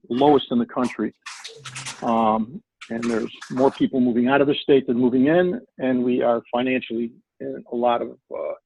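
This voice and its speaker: An older man talks casually, like an agent catching up with peers. His medium-pitched voice comes through a thin, tinny phone line, very dry and close. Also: creaky, husky, no echo. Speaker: real estate agent. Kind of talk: casual chat on a call.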